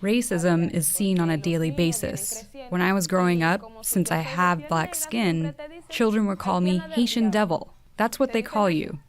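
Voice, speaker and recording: A woman's voice: lowish voice, moderate pace. A woman giving a translated interview account as a documentary voice-over. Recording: busy crowd sound behind voice.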